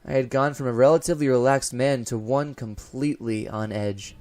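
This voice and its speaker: A man playing a haunted college professor unraveling into paranoid dread, narrating a horror audio drama in a formal, deliberate, theatrical manner. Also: medium pitch, steady tone, lightly acted. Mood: uneasy, haunted. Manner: formal, deliberate, theatrical, swelling from hushed to frantic. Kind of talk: first-person gothic horror audio narration. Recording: music behind the voice.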